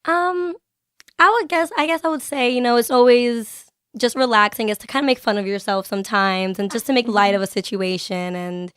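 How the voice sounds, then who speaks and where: A woman's voice: fairly high pitch, moderate pace, a bit bright, clean tone, no echo, studio quality. A young woman chatting casually as an interview guest, giggly and self-deprecating. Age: young adult. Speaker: guest, student writer discussing own story.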